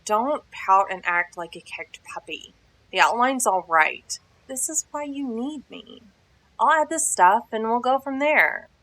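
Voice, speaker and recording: A bossy teenage girl played by a woman in an audio drama. Her bright, forward voice is brusque and slangy, with an impatient edge. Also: on the high side, lively intonation, a little slow, low-quality recording. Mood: scolding, bossy. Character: bossy, blunt teenage girl helping film a movie.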